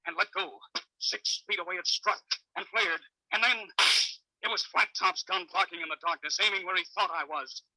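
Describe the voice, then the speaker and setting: A man's voice: fairly high pitch, natural voice, low-quality recording. An actor playing a tough, determined police detective in a 1940s radio serial, clipped and commanding as he acts and narrates. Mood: excited. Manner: clipped, commanding, hard-boiled. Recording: old radio broadcast recording.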